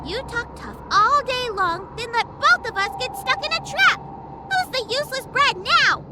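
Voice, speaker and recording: A woman's voice: extremely high pitch, lively intonation, moderate pace, very noisy. A woman voicing a timid, tearful young girl in a video game, whiny and pleading. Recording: loud music behind the voice.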